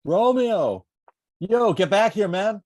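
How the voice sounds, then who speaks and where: A man's voice: sits high, lively intonation, slow, a little mellow. A man playing a rowdy, foul-mouthed young street buddy in a table read on a distant video call. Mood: rowdy, mocking. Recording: distant video call, other voices behind.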